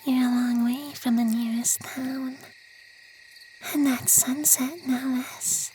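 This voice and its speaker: Slow, high, whispery gentle vampire played by a man. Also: flat delivery, slight background noise, poor audio. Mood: teasing, soothing. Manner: soft, breathy, half-whispered, slow and soothing. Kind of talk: ASMR roleplay.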